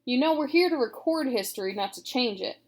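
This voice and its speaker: A woman's voice: high-pitched, expressive, brisk. A woman reading aloud, voicing a bossy, practical young boy who talks bluntly and firmly.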